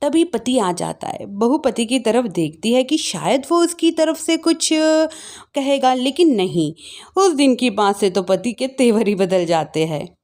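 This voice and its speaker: A woman narrates a domestic tale in a scripted, dramatic style. Her intonation is very animated and rises and falls freely. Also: a little high, measured pace. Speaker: story narrator.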